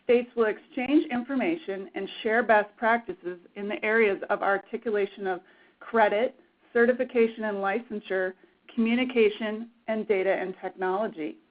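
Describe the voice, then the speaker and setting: A woman moderating a webinar, reading a formal scripted introduction in a brisk manner. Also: medium pitch, fairly even intonation. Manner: brisk, formal, reads from notes. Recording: tinny phone-line webinar audio.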